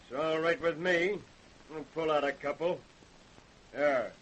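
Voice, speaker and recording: Surly fisherman, bright, forceful voice. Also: a little high, a little slow. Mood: sullen, stubborn. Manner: curt, stubborn denials in rough ungrammatical talk. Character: defiant, surly rough fisherman accused of murder. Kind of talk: old-time radio drama acting. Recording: faintly noisy old radio recording.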